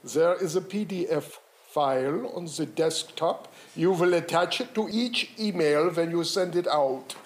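Man acting a slow, formal, shady boss. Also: fairly high pitch, lightly acted. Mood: smooth, dismissive. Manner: formal, measured. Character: shady, formal male office boss, stern and smooth-talking. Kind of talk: audio comedy drama acting.

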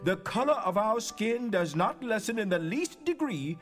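An earnest 19th-century freedman making his case in a dramatic reading. The man's voice is high, his delivery formal and emphatic, with faint music behind. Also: very animated, normal speed, fairly bright tone, exaggerated voice. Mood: earnest, resolute. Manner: formal, emphatic oratory, building through lists. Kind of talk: theatrical dramatic reading of historical documents.

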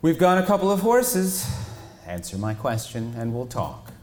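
A man's voice: mid-range voice, sing-song, moderate pace, darkish tone, roomy sound, long reverb tail, a little hiss or hum, natural voice. A man voicing a young woman, a cool and commanding queen who sounds bored. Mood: bluffing.

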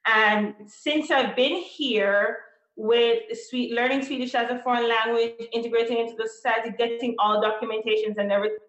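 A woman from Jamaica, a teacher appearing as a podcast guest, speaking in a measured, deliberate way and laying out her points in order. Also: on the high side, fairly even intonation, fairly bright tone, echoey room, lo-fi sound. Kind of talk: interview answers.

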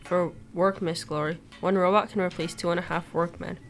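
A woman's voice: on the low side, level tone, brisk, faint music behind, natural voice. Teen girl playing assertive, profit-minded factory executive. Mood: businesslike. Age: teenager. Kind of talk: amateur radio play acting.

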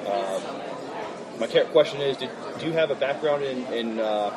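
A man asking questions in a casual interview, a little halting and hesitant. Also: mid-range voice. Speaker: podcast interviewer. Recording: loud crowded hall chatter behind. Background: loud crowd chatter.

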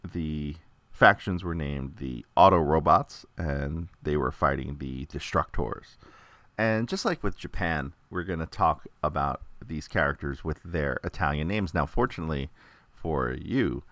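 A man's voice: a bass voice, measured pace, fairly bright tone, no echo. A man from the United States chatting casually through an informational monologue, with many filler words and light jokes. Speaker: toy collector presenting a video.

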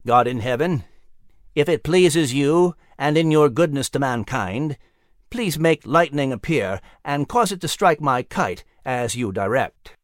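A man voicing an earnest elderly male inventor who speaks in a prayerful, excited way. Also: medium pitch, measured pace, no room sound, clean studio sound, natural voice.

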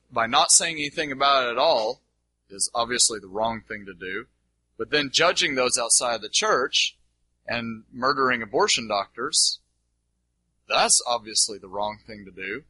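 A man's voice: mid-range voice, pushed and bright, slightly rough, no room sound, rough recording. A man answering an audience question as a Bible teacher, unscripted and emphatic, repeating phrases to drive points home.

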